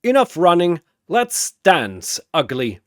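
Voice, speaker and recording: A man's voice: fairly high pitch, huge pitch swings, slow, fairly bright tone, no room sound, clean studio sound, pitched above the performer's own voice, heavily acted. A man voicing a cheerful, bold panda-man brewmaster in a fantasy battle story. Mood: bold, commanding. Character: jovial, confident male panda-man brewmaster fighter.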